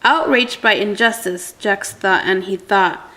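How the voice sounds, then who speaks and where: A woman reading a picture book aloud to a young child with expressive, deliberate, dramatic phrasing. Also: mid-range voice.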